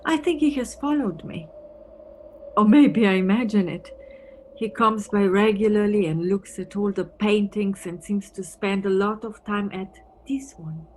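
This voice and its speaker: A woman's voice: mid-range voice, unhurried, a little mellow, natural voice. Woman doing wry wistful lady detective in an audio play, musing chatty delivery, bit of noisy ambience. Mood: guarded, musing. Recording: noisy recording with background ambience.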